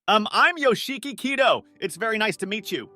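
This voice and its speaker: Manga dub lead: male actor as earnest lovesick young man, bright and high, anxious inner monologue, music behind. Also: lively intonation, fairly quick, big-room sound, heavily acted. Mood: flustered. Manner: earnest, self-doubting inner monologue with startled outbursts. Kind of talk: animated comic dub with first-person narration. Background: background music.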